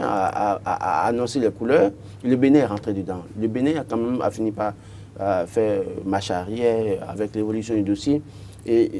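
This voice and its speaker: A man's voice: lowish voice, mellow, a little hiss or hum. A man in his thirties from Benin with a Beninese French accent, arguing as a political commentator on a TV panel and often stuttering on repeated words.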